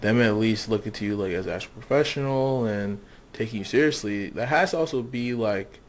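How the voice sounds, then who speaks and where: A man's voice: lowish voice, brisk, slight background noise. A young man interviewing a guest in a casual, rambling way, with lots of fillers. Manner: rambling questions, many fillers.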